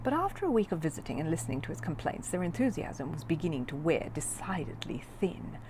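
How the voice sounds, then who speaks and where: A woman reading a children's story aloud in an expressive narrating style. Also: low voice, quick pace, background noise. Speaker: audiobook reader of a children's book.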